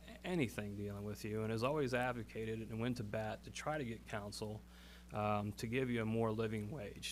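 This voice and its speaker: A man's voice: on the low side, slight background noise. A man on a city council speaking in earnest, folksy remarks with many fillers. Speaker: council member.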